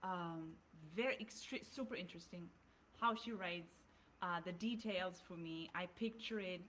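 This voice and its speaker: A woman's voice: on the low side, measured pace, fairly bright tone, slight background noise. German-accented woman chatting casually. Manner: talks through ideas with frequent restarts and fillers. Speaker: podcast co-host. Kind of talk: casual chat.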